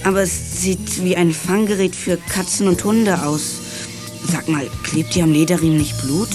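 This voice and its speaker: A woman as a low-pitched schoolboy sleuth. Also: normal speed, music in the background, close to an everyday voice. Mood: reassuring. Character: Bohne, lanky schoolboy, casual, reassuring brother and young sleuth. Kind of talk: German children's radio play acting.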